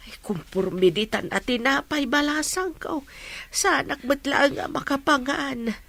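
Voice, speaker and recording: A woman's voice: sits high, animated, a little slow, vocal fry, fairly bright tone, exaggerated voice. A woman playing a doting older village mother in a radio drama, with a motherly way of talking. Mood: ailing.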